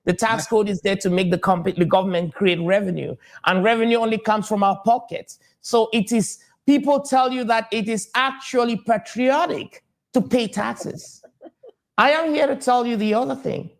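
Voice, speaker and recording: A man's voice: high voice, moderate pace, gravelly. A man from Cameroon, a tax advisor answering interview questions, confident and repeating his key points with some hesitant fillers.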